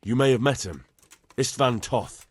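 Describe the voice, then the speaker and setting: A man acting an earnest, respectful young squire in a medieval video game, with a husky voice. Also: mid-range voice, measured pace, no room sound. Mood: urgent, reporting.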